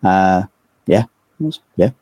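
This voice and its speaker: Low-voiced southern English man, casual. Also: expressive, unhurried, dark tone, dry recording, dull audio. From England. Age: 30s. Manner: informal, rambling, hesitant phrasing. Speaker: interview guest, metal band vocalist. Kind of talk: casual chat.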